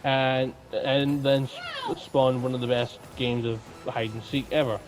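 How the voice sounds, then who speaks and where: A man's voice: mid-range voice, little pitch movement, unhurried, poor audio. A man co-hosting a podcast in casual, informal conversation. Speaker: podcast co-host.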